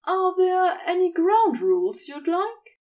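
A woman's voice: extremely high pitch, sing-song, slow pace, darkish tone, recorded from a distance, voice pushed up, heavily acted. A woman voicing a big, stocky, self-assured man in an audio story, teasing and commanding. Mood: cool, curious.

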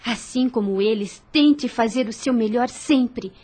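A grave, compassionate young Brazilian lady. Her voice sits high and has a husky grain. She speaks earnestly, in measured theatrical phrases over a music bed. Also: darkish tone. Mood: grave, persuasive. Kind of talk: radio drama acting. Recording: music under the voice.

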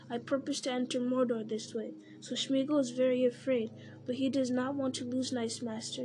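A woman's voice: high-pitched, close to an everyday voice, poor audio. A woman reading aloud and voicing a scrawny, fawning male creature who whines and pleads. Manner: whining, pleading, speaks of himself by name.

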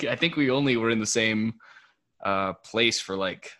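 A man's voice: lowish voice, measured pace, bright, forceful tone. A young American man hosting a casual podcast. He talks in a laid-back, chatty way, laughs often and uses lots of fillers. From United States. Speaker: podcast host. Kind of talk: casual podcast chat mixing English and Tagalog.

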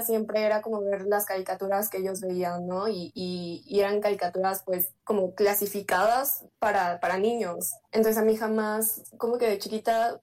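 A woman's voice: medium pitch, dark tone. A woman speaking thoughtfully in a casual group chat. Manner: thoughtful, many fillers.

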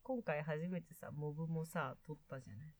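A woman's voice: deep voice, fairly quick, darkish tone, no room sound. A woman co-hosting a podcast, chatting casually with friends. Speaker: podcast co-host, amateur voice drama maker. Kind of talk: casual group chat among friends.

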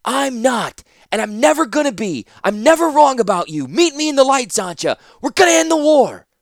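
A man voicing a desperate young male ally who shouts urgent pleas in a storybook reading. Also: extremely high pitch, big pitch swings, normal speed, no echo, studio quality, voice pushed up. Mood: frantic shouting. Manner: shouting, pleading.

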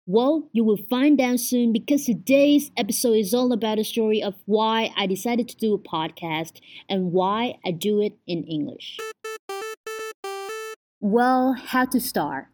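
A woman's voice: on the high side, measured pace, a little mellow, soft background music. A young woman in her twenties from Vietnam, a native Vietnamese speaker with a Vietnamese accent, hosting her own podcast at home in an earnest, chatty way, often saying "like". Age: 20s. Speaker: podcast host. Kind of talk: casual solo podcast chat.

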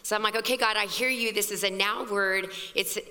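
A woman pastor with a scratchy, hoarse voice, preaching a fervent, conversational sermon. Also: mid-range voice, fairly even intonation, fairly quick, pushed and bright. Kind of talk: sermon at a women's evening service. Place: church hall. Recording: long reverb of a large hall.

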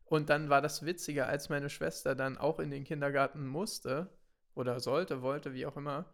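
A young man from Germany, a podcast co-host, telling stories in a relaxed way, laughing often and mixing in English words. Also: fairly high pitch, moderate pace, gravelly. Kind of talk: casual chat.

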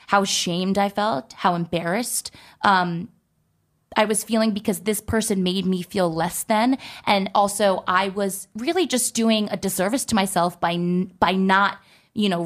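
A young woman telling a personal story to her viewers in a chatty way, full of "like" and "you know". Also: mid-range voice. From United States.

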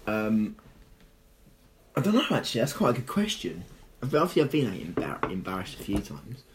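A croaky-voiced teenage boy rambles quickly through his stories, stumbling over restarts, and his pitch swings widely. A faint hiss sits under the recording. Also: very animated. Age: teens. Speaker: podcast co-host. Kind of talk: casual chat between friends.

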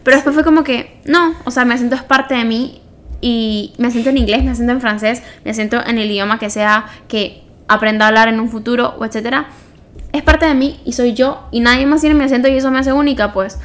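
A woman's voice: on the high side, a little fast, a bit bright. A young woman with a Venezuelan accent telling personal stories in casual podcast chat, informally and often correcting herself as she goes. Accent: Venezuelan Spanish. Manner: informal, self-correcting storytelling. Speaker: podcast co-host.

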